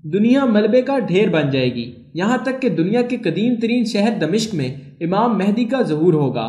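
A man with a high, light voice reads scripted documentary narration for his channel. His delivery is formal and measured, with an even, steady read. The room around him rings with noticeable echo. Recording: echoey room.

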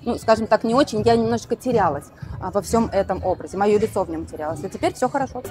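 A woman's voice: mid-range voice, quick pace, music in the background. A woman stylist explaining an outfit in a casual, conversational way to viewers. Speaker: stylist presenting her outfit. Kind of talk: casual explanatory fashion talk to camera.

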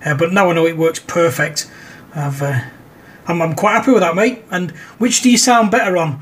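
A man's voice: a little high, moderate pace, a little hiss or hum. A man with a British accent chatting casually as an amateur radio operator. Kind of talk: casual ham radio conversation.

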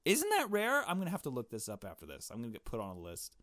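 A man's voice: medium pitch, huge pitch swings, fast talker, a bit bright, no room sound, clean studio sound. A man reading visual novel text aloud and adding informal, joking commentary of his own.

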